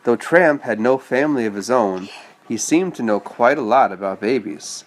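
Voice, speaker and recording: A man's voice: medium pitch, animated, moderate pace, poor audio. A man reading a children's story aloud and chatting patiently with a child, explaining as he goes. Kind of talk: read-aloud storytelling with casual asides to a child.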